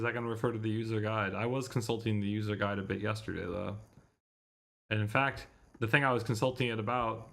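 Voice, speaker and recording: A man's voice: lowish voice, creaky, fairly bright tone. A man streaming a visual novel, chatting casually and muttering mildly exasperated asides. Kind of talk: casual livestream chat.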